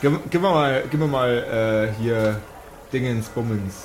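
Man, game master, improvised tabletop storytelling, mid-pitched voice, noise behind him. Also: measured pace. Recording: clear background noise.

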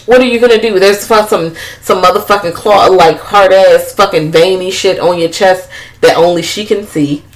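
A woman's voice: on the low side, normal speed, low-quality recording. A woman co-hosting a casual chat, recapping a movie plot in a rambling, profane way. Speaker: podcast co-host.

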